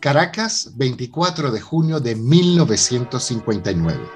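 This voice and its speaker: Animated male narrator over prominent music. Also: mid-range voice, normal speed. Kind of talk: dramatic scripted narration for a radionovela.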